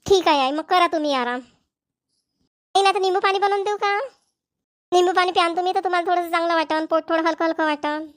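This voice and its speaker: Lady doing a coaxing young village daughter-in-law, cartoon, really high voice, varhadi marathi accent. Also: fairly quick, pauses often, no echo, studio quality. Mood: caring. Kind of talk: cartoon family comedy voice acting.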